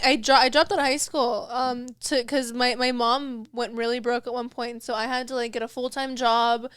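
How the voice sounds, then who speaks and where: A woman's voice: high-pitched, fairly bright tone, clean tone, no echo, clean studio sound. A young woman guesting on a podcast, talking bluntly and rambling with lots of fillers. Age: young adult. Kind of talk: candid casual chat.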